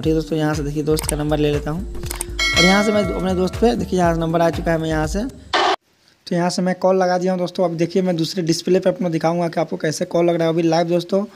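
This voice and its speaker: A man's voice: on the high side, darkish tone. A man presenting an informal step-by-step tech tutorial, repeatedly addressing his viewers as friends. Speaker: host of a tech tutorial channel. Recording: echoey hall-like room, faint noise.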